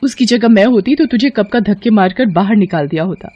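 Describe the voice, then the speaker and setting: महिला की आवाज़ में ऊंची आवाज़ वाली घमंडी बहू. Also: female voice, medium pitch, expressive, a little fast, dark tone, husky, lo-fi sound, pitched above the performer's own voice. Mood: irritated, cruel. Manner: whining, complaining, dramatic. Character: vain, haughty, selfish young elder daughter-in-law. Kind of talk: cartoon family melodrama.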